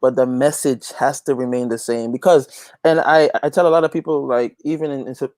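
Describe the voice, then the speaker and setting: A man's voice: medium pitch, fairly even intonation, a little fast, a little mellow, dry recording, studio quality. A man talking casually as an interview guest, preaching a little and repeating phrases for emphasis. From Guyana. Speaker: interview guest, gospel reggae artist and youth pastor.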